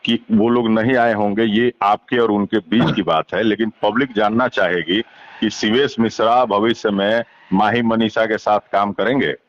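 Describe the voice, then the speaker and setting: A man's voice: lowish voice, fairly even intonation, moderate pace, muffled recording, a little hiss or hum. A man working as a news interviewer, putting questions politely and hesitantly. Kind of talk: phone interview with frequent acknowledgements.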